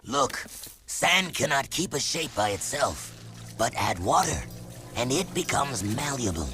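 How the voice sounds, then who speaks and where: A man's voice: medium pitch, sing-song, a little slow, music in the background. A man voicing a wise, stern desert leader in a video game, solemn and fatherly, with formal phrasing. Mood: solemn, encouraging. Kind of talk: video game cutscene acting.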